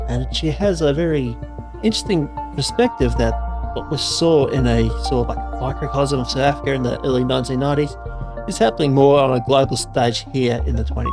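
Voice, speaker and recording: A man hosting a podcast who introduces his guest in a chatty, rambling, enthusiastic way. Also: mid-range voice. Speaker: podcast host. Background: music.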